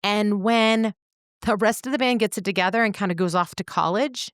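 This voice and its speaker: A woman co-hosting a podcast, speaking in a measured, articulate way as she reflects and analyzes. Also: mid-range voice, no echo, studio quality. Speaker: podcast co-host.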